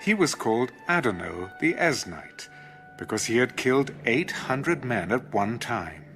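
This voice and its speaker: A man narrating scripture as formal, measured, dramatic storytelling. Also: medium pitch, sing-song, fairly bright tone. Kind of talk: dramatic audio Bible narration. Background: music behind the voice.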